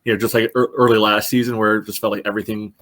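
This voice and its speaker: Slightly low-voiced man in casual sports talk. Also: little pitch movement, rapid-fire, fairly bright tone. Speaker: sports podcast co-host. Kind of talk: casual soccer analysis.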